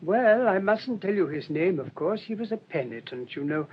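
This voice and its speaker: A high-voiced, earnest little priest. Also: male voice, animated, normal speed. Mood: mild, innocent. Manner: preaching, gently reasoning. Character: mild, earnest little priest, quietly unshakeable. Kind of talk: radio drama acting. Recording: muffled recording with faint noise.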